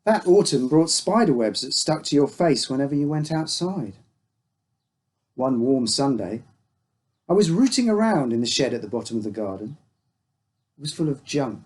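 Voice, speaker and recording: A man's voice: mid-range voice, sing-song, normal speed, pauses often, darkish tone, breathy edge. A man reading a scripted uncanny story as its storyteller. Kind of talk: scripted narration of an uncanny tale.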